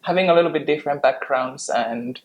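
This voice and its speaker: A woman's voice: low-pitched, fairly quick, a little hiss or hum, poor audio. A woman from Finland with a Finnish accent, casually explaining and chatting as a podcast co-host.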